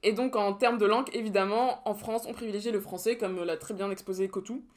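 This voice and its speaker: A woman's voice: medium pitch, steady tone, brisk. A young woman co-hosting a podcast, talking through prepared points in casual chat with a dry, ironic edge. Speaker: podcast co-host.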